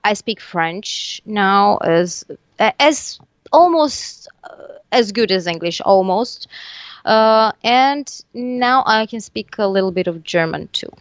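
A woman's voice: mid-range voice, animated, slow pace, clean tone, no room sound, lo-fi sound. A woman whose first language is Serbian, a musician talking casually in an interview, hesitant with many fillers and restarts. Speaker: musician guest. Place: living in Tunisia.

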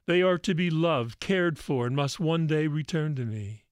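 A man plays the divine Father speaking from within in a religious tale. He is solemn and measured, commanding yet reassuring, in a slightly high male voice with a very dry sound. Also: expressive, clear, resonant tone, no echo, natural voice. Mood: solemn, loving. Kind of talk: dramatic religious story reading.

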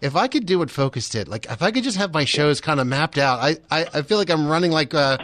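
A man's voice: on the high side, animated, quick pace, fairly bright tone, no echo. A man hosting a casual radio interview in a chatty, conversational way, speaking close to a studio microphone.

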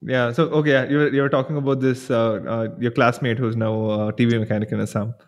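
A man's voice: medium pitch, level tone, fast talker. A man hosting a podcast in a casual, conversational way, agreeable and empathetic toward his guest. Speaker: podcast host, interviewer.

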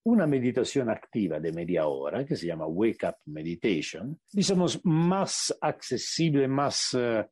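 A man with an Italian accent, a native Italian speaker, giving a radio interview as a meditation teacher and often pausing to search for the right word. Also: mid-range voice, sing-song, darkish tone, slightly rough, dry recording, clean studio sound. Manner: searching for words, slowing to stress points. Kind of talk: reflective interview answers.

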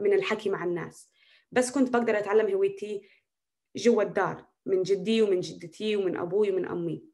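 A young woman with a Naqab Bedouin accent, answering interview questions in a passionate, emphatic way. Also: mid-range voice. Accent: Naqab Bedouin Arabic. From Naqab Bedouin, Palestine. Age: young adult. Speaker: guest, activist.

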